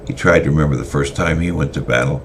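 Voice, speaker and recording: A man reading a story aloud in a semi-dramatic style, halting often with fillers and restarts. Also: rumbling low, background noise.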